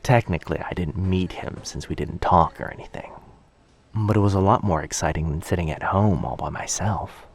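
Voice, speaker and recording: A man playing a shy, sweet, anxious young stranger in a boyfriend ASMR roleplay, speaking hesitantly, softly and earnestly. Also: low voice, moderate pace, slightly rough. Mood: earnest, grateful. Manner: hesitant, soft-spoken, earnest, self-doubting.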